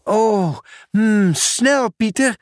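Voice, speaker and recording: A man reading a storybook aloud, voicing an excitable male sorting office manager who talks in a raised, exclaiming voice. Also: extremely slow, no echo, studio quality, heavily acted. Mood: excited. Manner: excited, high-pitched, exclaiming.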